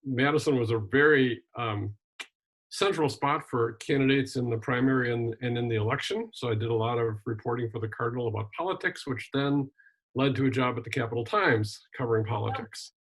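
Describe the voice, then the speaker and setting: An older man from Wisconsin, a retired minister and journalist, telling his life story in a relaxed interview with frequent ums and restarts. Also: medium pitch, fairly quick, slightly rough, low-quality recording. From Wisconsin, USA. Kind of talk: casual conversational interview.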